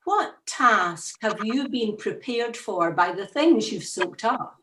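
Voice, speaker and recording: Expressive, slow-reading woman with roomy echo. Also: a little high, slight background noise. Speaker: devotional reader. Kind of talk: scripted church reflection reading.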